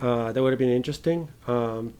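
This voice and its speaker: A man co-hosting a film podcast, talking casually and haltingly, with some informal swearing. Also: medium pitch, dark tone, smooth, muffled recording.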